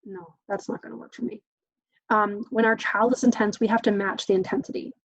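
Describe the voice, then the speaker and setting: A woman's voice: mid-range voice, fairly even intonation, brisk, creaky, husky, no echo, muffled recording. A woman presenting a parenting workshop in a casual, chatty way, often acting out exchanges between parent and child, with frequent "um"s. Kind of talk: informal teaching talk.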